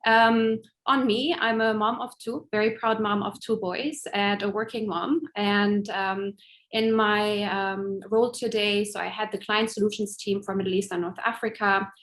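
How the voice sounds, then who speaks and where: A woman's voice: mid-range voice, level tone, normal speed, fairly bright tone. A woman of Lebanese origin who grew up in Germany, answering interview questions as a guest in a fluent, friendly conversational way. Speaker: guest, tech executive.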